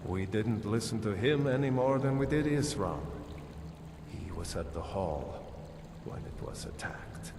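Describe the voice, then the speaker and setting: An actor voicing a proud, grieving vampire hunter of a fallen order in a fantasy game, speaking earnestly and solemnly. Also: male voice, fairly low pitch, expressive, measured pace, heavy background noise. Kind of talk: fantasy video game dialogue. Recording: long hall reverb. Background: game music.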